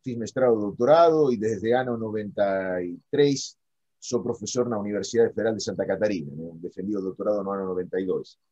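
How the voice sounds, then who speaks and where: A middle-aged man from Argentina with an Argentine Spanish accent, a native Spanish speaker, explaining in a halting, self-correcting academic conversational way. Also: lowish voice, dark tone, breathy edge. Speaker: philosophy professor, interview guest. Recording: dull lo-fi audio.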